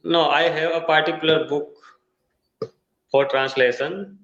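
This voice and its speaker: A man from India with an Indian English accent, a Hindi native speaker learning English, talking haltingly in an online tutoring conversation over a video call. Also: a little high, fairly even intonation, a bit bright. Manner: halting, searching for words with many uh fillers. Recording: echoey, dull video-call audio.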